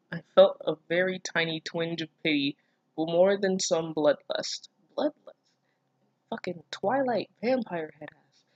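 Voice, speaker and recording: Someone reading fanfic out loud and roasting it, sweary and sarcastic, super dry audio, medium pitch. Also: no room sound, highs cut off. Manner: profane, mocking, incredulous. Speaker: fan fiction reader and commentator. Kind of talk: casual reaction reading with sarcastic asides.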